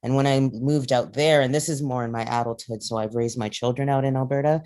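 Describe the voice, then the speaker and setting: A woman's voice: very deep voice, fairly even intonation, fairly quick, mellow. A woman from Canada, middle-aged, speaking in a casual discussion, rambling with many fillers and restarts. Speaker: panel participant.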